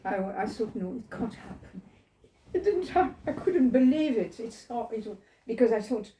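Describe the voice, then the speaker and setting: An elderly woman talks to a few listeners in a living room, her voice mellow and warm. She wanders between memories, restarts her sentences and checks whether her listeners follow. The room sounds roomy around her. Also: fairly high pitch, big pitch swings, normal speed, a little hiss or hum. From France. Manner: reflective, digressive, asks listeners questions. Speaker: holocaust survivor telling her story. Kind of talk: personal storytelling to a small group.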